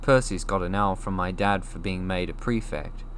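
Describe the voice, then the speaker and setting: A man voicing a glum, self-deprecating young boy wizard in an animated, grumbling way, for a storybook. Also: low voice, moderate pace, a little hiss or hum, lightly acted. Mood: glum, embarrassed.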